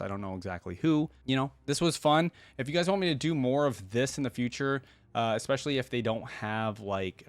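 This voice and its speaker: A man giving casual, unscripted commentary as a reaction video host, talking in a rambling, conversational way. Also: lowish voice.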